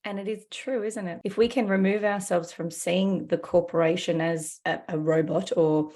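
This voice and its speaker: Woman podcast host, kinda low voice, super affirming and enthusiastic. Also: steady tone, normal speed, mellow. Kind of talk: casual podcast interview.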